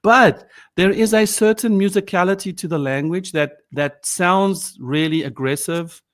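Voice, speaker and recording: A man's voice: high-pitched, fairly bright tone. Reflective South African man, native Afrikaans speaker. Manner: reflective, halting with frequent restarts. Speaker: podcast guest. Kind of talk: casual conversation.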